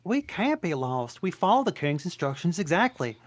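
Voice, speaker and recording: A wisecracking, loyal talking donkey, voiced by a table reader, sassy and quick to get defensive. The pitch bounces about with lively energy. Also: slight background noise, lightly acted. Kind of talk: amateur table read.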